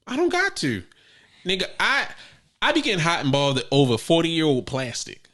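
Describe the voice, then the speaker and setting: A man co-hosting a casual sneaker podcast, talking in a profane, animated way that jumps up loud when he jokes. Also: a little high, moderate pace. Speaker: podcast co-host. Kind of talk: casual chat.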